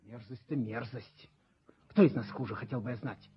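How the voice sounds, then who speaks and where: A very husky, raspy male rent collector in a muffled old radio drama. He is servile yet bitter, pleading his case with theatrical emphasis and aggrieved self-justification. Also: mid-range voice, moderate pace. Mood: bitter reproach. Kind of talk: theatrical radio play acting. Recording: muffled 1970s radio play recording.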